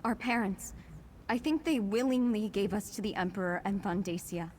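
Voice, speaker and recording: An earnest young adventurer from a fantasy game, acted by a woman. Her voice sits at a middle pitch and sounds anxious. Clear ambient game noise runs behind it. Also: normal speed, a little mellow, natural voice. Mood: thoughtful. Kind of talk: fantasy video game voice acting.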